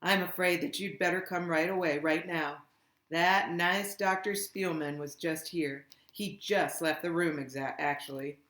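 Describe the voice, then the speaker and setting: Woman putting on a weary, gruff old grandmother. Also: fairly low pitch, a little slow. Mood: grave, weary. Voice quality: gruff, tired, flat. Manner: grave, effortful. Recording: echoey room.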